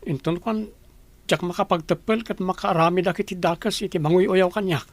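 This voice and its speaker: A man's voice: high voice, fairly even intonation, normal speed, dry recording. A man acting a despairing, bitter young grandson in an Ilocano radio comedy drama.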